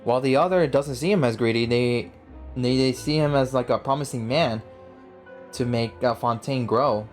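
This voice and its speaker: A man's voice: mid-range voice, normal speed. A young man giving casual let's play commentary as a gaming host, slangy and often saying bro. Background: game music.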